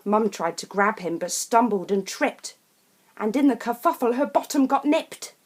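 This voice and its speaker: A woman reads a rhyming children's picture book in a theatrical, deliberate and emphatic way. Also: a little high, lively intonation, noisy background. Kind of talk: expressive rhyming storybook narration.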